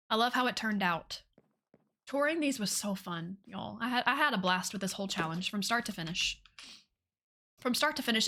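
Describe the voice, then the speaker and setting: Bright-voiced, gushing woman livestreamer. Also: mid-range voice. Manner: gushing, enthusiastic; informal, says y'all and repeats phrases. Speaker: game livestream host. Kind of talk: casual livestream chat and game tour.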